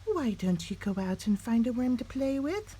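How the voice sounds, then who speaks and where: A woman voicing a kind, patient bird mother who explains things gently. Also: on the high side, sing-song, moderate pace, a little hiss or hum, pitched above the performer's own voice. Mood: gentle.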